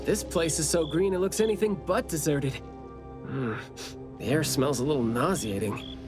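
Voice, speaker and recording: An actor playing a chatty, teasing, curious young investigator in a game cutscene, with a breezy, wry manner. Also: male voice, fairly high pitch, normal speed, lightly acted. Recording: music behind the voice.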